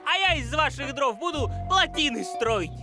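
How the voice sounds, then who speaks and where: A woman voicing an aggrieved, reproachful forest beaver in an animated cartoon. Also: sits high, moderate pace, music in the background. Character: aggrieved talking forest beaver, dam builder.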